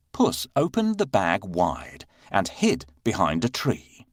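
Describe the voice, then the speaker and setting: Slow, very animated male storyteller. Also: lowish voice, no room sound, clean studio sound. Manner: measured, expressive. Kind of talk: scripted children's story narration.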